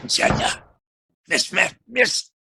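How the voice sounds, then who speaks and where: A man's voice: slow pace, pushed and bright, a little hiss or hum. A man playing a meek, folksy assistant to an Old West marshal in a radio western, with a hesitant, stammering, deferential delivery. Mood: anxious, pleading. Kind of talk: old-time western radio drama acting.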